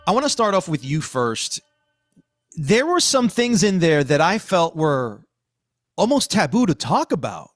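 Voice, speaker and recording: A man's voice: a little high, very animated, unhurried, no echo, faint music behind. A man hosting a podcast in casual conversation, speaking informally and with emphasis, using plenty of slang. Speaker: podcast host. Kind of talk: casual chat.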